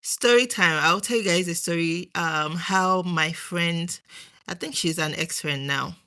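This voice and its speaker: Young Nigerian woman, low-pitched with a sharp, bright edge, rambling live-chat host. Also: measured pace. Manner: rambling, chats with viewers, trails off. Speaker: livestream host. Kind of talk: casual livestream chat.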